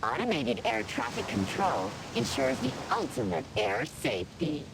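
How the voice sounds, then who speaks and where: An actor voicing an odd, ceremonious airline attendant in a video game, with a creaking voice and drawn-out, courteous patter. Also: male voice, wildly swooping pitch, full character voice. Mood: courteous, eerie. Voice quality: croaky, creaking. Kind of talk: video game cutscene acting. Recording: noisy, rumbling game cutscene audio.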